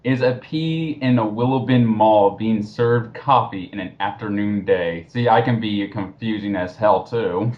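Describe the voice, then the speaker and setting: A man's voice: fairly low pitch, measured pace, hardly any pauses, dull audio. A man co-hosting a commentary show, blunt, sweary and scornful as he talks and reads out replies. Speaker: reviewer, co-host of a commentary show. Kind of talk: casual commentary and scornful read-aloud.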